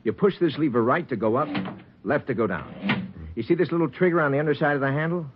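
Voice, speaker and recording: An actor playing a helpful, plain-spoken elevator operator who explains things step by step in a 1940s radio drama. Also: male voice, on the high side, fast talker, background noise, close to an everyday voice. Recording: muffled old radio recording.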